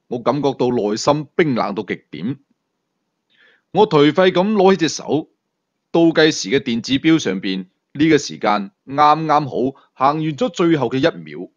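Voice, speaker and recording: A male storyteller with a Hong Kong Cantonese accent reads a dark thriller. His voice sits a little high and swings expressively with the drama. The recording is somewhat dull and lo-fi. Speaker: audiobook storyteller.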